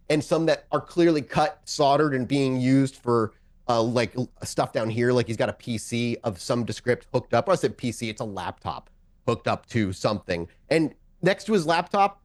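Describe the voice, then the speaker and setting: A man improvising narration as game master of a tabletop game, talking in a casual, conversational, sometimes profane way. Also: medium pitch.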